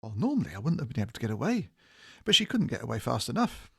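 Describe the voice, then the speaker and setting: Male shop worker played by a man, playful and easily bored, lively pitch swings, audio drama dialogue. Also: fast, gravelly, slightly rough, exaggerated voice. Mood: gossipy. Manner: playful, teasing.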